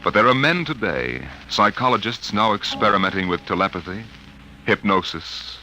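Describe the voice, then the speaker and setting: A man's voice: fairly low pitch, lively intonation, normal speed, sharp tone, low-quality recording. An American man announcing and narrating a vintage radio drama in a solemn, portentous, scripted style. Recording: vintage radio broadcast over music.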